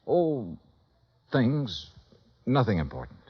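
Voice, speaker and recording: An American actor playing a blunt, worldly insurance investigator in a 1950s radio noir drama, terse in manner, on a vintage broadcast recording. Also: male voice, mid-range voice, very animated, slow, husky, muffled recording, exaggerated voice. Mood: evasive. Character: hard-boiled, wry male insurance investigator. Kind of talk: 1950s radio noir acting with first-person narration.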